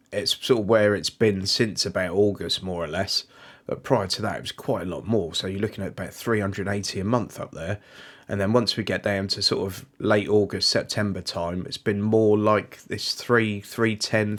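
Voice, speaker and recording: A British man hosting a car leasing channel, chatting casually and reeling off prices and specs while slightly stuffed up from a cold. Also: lowish voice. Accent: British English. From United Kingdom. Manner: chatty, informal, reels off figures. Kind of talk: casual review monologue.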